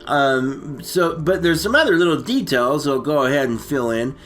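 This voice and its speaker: A man co-hosting a casual comic movie recap, rambling and halting, full of slang. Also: a little high, fairly bright tone. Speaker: comedy storytelling co-host.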